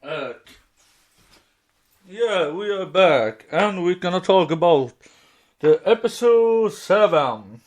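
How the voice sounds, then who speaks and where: A man hosting a podcast, talking casually in a halting, hesitant way. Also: high voice, lively intonation. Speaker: podcast host.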